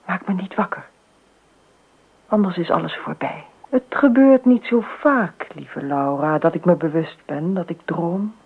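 A woman's voice: on the low side, unhurried, husky. An actress playing a dreamy, eerily detached married woman in a radio play, often murmuring to herself. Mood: dreamy, musing. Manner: murmurs asides to herself, often near whispering. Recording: muffled phone-like old radio recording.

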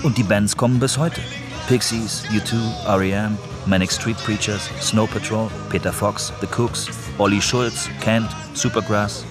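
A man's voice: fairly low pitch, fairly even intonation, unhurried. A man reading scripted narration for a radio documentary. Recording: music playing under the voice. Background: music with guitar.

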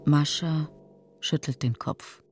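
An actor playing a matter-of-fact narrator who tersely reads stage directions in a German radio drama. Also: male voice, fairly high pitch, fairly even intonation, takes it slowly, soft background music. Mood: neutral. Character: neutral terse narrator announcing scenes and actions.

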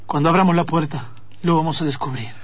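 A man's voice: a little high, fairly even intonation, quick pace, breathy edge, no room sound. A man playing a professor's eager, deferential student with an Argentine accent, in an improvised radio comedy. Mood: calm. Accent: Argentine, Rioplatense.

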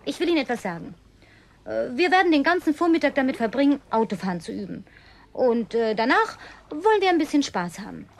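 High-voiced coquettish young-girlish woman. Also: big pitch swings, a little fast, creaky, exaggerated voice. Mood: earnest, persuasive. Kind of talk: naturalistic German radio play dialogue.

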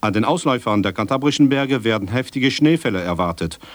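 A straight-faced newsreader, played by a male actor, delivers a formal radio bulletin. His mid-range voice sounds close and dry, with a measured, official, deadpan manner. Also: medium pitch, no room sound, natural voice. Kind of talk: formal news bulletin reading.

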